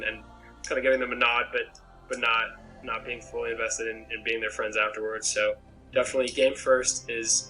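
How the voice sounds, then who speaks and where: Young man with a flat bright voice. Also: mid-range voice, rough recording. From Maine, USA. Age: young adult. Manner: rambling, self-reflective, many restarts. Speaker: game contestant. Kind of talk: pregame interview answers. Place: small bedroom, indoors. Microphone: laptop webcam video call.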